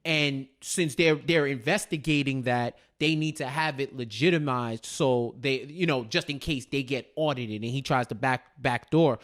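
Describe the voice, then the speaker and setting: A man co-hosting a casual TV recap chat, retelling in an animated way and repeating phrases for emphasis. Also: medium pitch, normal speed, few pauses. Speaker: co-host of a TV recap show.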